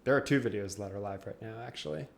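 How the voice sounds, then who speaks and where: A man's voice: on the low side, fast talker, creaky. Laid-back young man telling stories. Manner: laid-back storyteller, laughs often. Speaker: podcast co-host. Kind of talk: casual gaming podcast chat.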